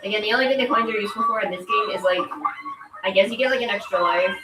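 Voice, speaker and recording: Woman, game streamer, stuffy congested voice, informal rambling chat, roomy echo, loud music in background. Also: medium pitch, quick pace. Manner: rambling, informal, filler-heavy "like". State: congested from allergies. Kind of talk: casual chat while gaming. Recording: echoey room, distant mic, loud music. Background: loud video game music.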